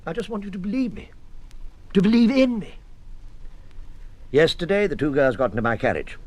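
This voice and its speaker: A man's voice: high voice, animated, moderate pace, darkish tone, no room sound. An actor with a British accent playing a defensive, exasperated husband in his fifties in a radio drama, sardonic and weary. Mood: sardonic recounting. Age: 50s. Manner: sardonic, weary, bursts of irritation. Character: defensive, exasperated 51-year-old husband accused by police.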